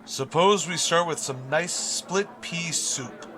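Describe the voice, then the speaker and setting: Man acting a pompous, exasperated high school principal. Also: sharp tone. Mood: dry, restrained. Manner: pompous, florid, sarcastic, deliberate. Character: pompous, long-suffering middle-aged male high school principal. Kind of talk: old-time radio sitcom acting.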